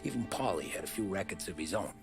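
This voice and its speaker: A man's voice: sits low, expressive, quick pace, vocal fry. An actor playing a weary 1930s gangster who recounts his criminal past in plain, slangy, matter-of-fact words for a video game. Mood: reflective, measured. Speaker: narrating lead character. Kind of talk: dramatic video game acting. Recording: music behind the voice.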